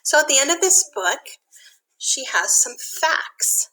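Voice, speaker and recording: A woman reading a picture book aloud to young children, expressive, with simple explanatory asides. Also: high-pitched, a little slow, noisy background.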